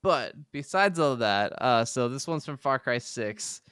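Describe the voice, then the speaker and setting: Guy gushing about video games on a podcast, lowish smooth voice, super dry close sound. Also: animated, unhurried, a bit bright, no room sound. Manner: enthusiastic, gushing; laughs easily. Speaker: podcast co-host.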